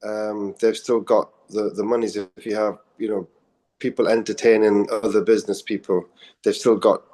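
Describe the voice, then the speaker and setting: A man with a fairly low voice that sounds husky today. He talks in a measured, thoughtful way, hedging his points. He is speaking in a radio studio. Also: flat delivery, warm tone. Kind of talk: casual radio chat.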